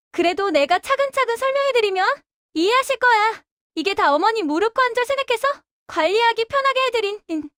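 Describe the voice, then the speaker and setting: Woman acting a very high-pitched, self-satisfied young daughter-in-law. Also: a bit bright, dry recording, studio quality. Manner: chatty, self-congratulating. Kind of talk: audio drama acting.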